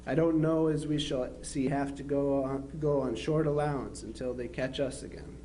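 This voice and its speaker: A man's voice: medium pitch, steady tone, normal speed, mellow, a little hiss or hum, lightly acted, low-quality recording. A man playing a dutiful young Civil War soldier, reading his letters home aloud. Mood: weary, wry. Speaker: amateur reader in a historical society dramatization.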